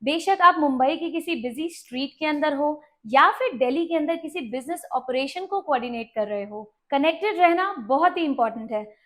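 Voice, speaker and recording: High-pitched woman presenting an explainer. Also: expressive, normal speed, fairly bright tone. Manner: instructional, addresses viewers with questions and answers. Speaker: video host and presenter. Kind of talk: explainer video to camera, Hindi mixed with English terms.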